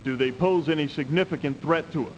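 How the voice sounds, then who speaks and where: An actor playing a proud, stern emperor at war in a declamatory video game cutscene style. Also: male voice, brisk, lo-fi sound, natural voice. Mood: commanding, determined. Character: proud commanding emperor at war with his brother. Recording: noisy 1990s CD game audio, rumble.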